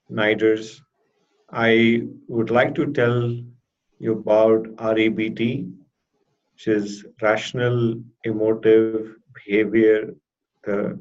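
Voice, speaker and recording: A man with a stutter giving a halting, deliberate personal testimonial as a therapy client in an interview. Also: on the low side, fairly even intonation. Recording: dull lo-fi audio.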